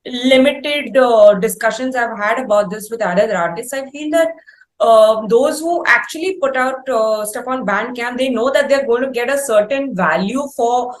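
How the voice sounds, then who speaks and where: Female interview host, fairly high voice, measured and wordy, roomy echo. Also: a little hiss or hum. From India. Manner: measured, wordy, halting phrasing. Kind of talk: conversational interviewing. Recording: roomy, echoing sound.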